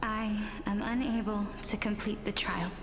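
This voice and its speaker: A woman acting a gentle, earnest young woman facing a fated sacrifice in a video game, with measured, delicate, formal delivery. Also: mid-range voice, fairly even intonation, close to an everyday voice. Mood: solemn, sorrowful. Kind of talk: scripted game dialogue acting.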